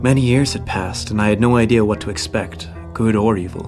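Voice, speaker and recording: Man acting solemn, lowish horror-story narrator. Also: brisk, natural voice. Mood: solemn, reflective. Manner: solemn, literary. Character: grave, reflective man recalling his haunted past. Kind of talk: scripted first-person horror narration. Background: guitar music.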